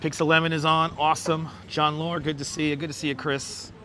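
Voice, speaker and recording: A hoarse, crackly-voiced man, pitched a bit high, chatting outdoors as he greets his livestream viewers by name. Faint traffic and city sounds drift behind him on a clip-on mic. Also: little pitch movement, a little fast, bright, forceful tone. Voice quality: crackly, squeaky. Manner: reels off viewer names with repeated welcomes. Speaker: livestream host. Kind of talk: casual live chat greeting viewers. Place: outdoors on a beach boardwalk, city street sounds. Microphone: wireless clip-on mic. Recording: outdoor phone livestream with street noise.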